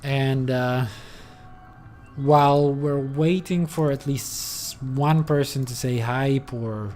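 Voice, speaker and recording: A man's voice: medium pitch, slow, a little mellow, faint music behind. A man streaming a game, talking casually and rambling, with lots of fillers and the odd swear. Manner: rambling, many fillers, loose and profane. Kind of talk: casual gameplay commentary.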